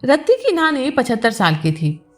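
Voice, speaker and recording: A woman with a fairly high voice reads a literary story aloud as a storyteller. Her delivery is scripted audiobook narration, with faint music underneath. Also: wildly swooping pitch, moderate pace, a little mellow. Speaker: storyteller reading a novel aloud.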